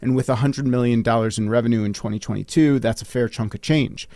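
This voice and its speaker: A man's voice: medium pitch, steady tone, fast, warm tone, no echo. Upbeat podcast host man, dry sound. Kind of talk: scripted intro, then interview questions.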